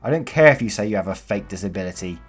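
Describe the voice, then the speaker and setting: A man's voice: fairly low pitch, expressive, fast talker, soft background music. A man voicing a pushy, passive-aggressive mother who makes curt demands. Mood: demanding.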